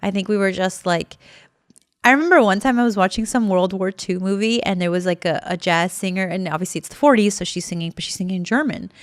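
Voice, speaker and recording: A woman's voice: mid-range voice, quick pace, dry recording, clean studio sound. A young woman co-hosting a podcast in casual chat, talking freely with lots of fillers and laughing often. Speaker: podcast co-host.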